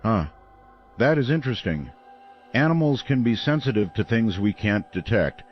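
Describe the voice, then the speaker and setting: An actor playing a calm, measured, folksy late-night call-in host in a radio drama. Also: male voice, on the low side, muffled recording, faint music behind, lightly acted.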